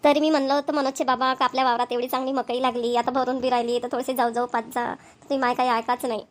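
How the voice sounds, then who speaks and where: A woman acts a fretful village mother and farm wife in a cartoon comedy. The voice is high and plaintive, with a habit of repeating things. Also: flat delivery, fast talker, lightly acted. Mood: upset, scolding.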